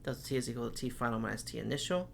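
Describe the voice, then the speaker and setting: A man's voice: medium pitch, lively intonation, rapid-fire, vocal fry, slight background noise. A man teaching chemistry, working through problems step by step and saying okay often, while losing his voice. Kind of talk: recorded classroom lecture.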